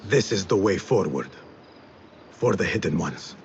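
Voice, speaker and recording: An actor playing a defiant, driven young assassin in a video game cutscene, with an intense, dramatic delivery. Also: male voice, deep voice, animated, unhurried, creaky, lo-fi sound, a little hiss or hum, full character voice. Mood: determined.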